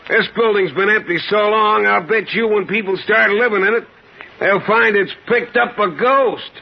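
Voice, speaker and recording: A man's voice: sits high, normal speed, vocal fry. An actor playing a chatty, superstitious coal delivery man in a 1950s radio drama. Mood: chatty, uneasy. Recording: muffled, very noisy old radio recording.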